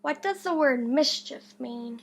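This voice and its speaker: Woman, teacher read-aloud, high pitch, unhurried pace, deliberate storybook narration. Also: animated. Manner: deliberate, with questions to a listener.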